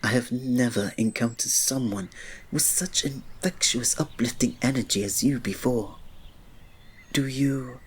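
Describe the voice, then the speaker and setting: A man playing a reserved, devoted royal servant in an ASMR romance roleplay, speaking softly, politely and formally with a breathy voice. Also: mid-range voice, a little slow. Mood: shy, tender. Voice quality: soft, breathy. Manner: soft, polite, formal, restrained, often dropping to a breathy murmur.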